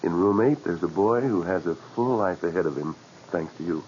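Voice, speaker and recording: A man's voice: deep voice, animated, normal speed, darkish tone. A man acting a grave, respectful and supportive hospital assistant in a 1940s radio drama. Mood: consoling. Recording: muffled, noisy 1940s radio recording.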